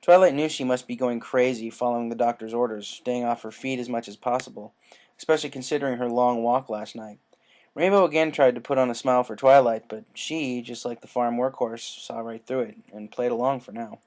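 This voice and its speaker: A narrator reading scripted fan fiction aloud in an expressive storytelling style. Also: medium pitch, fairly quick.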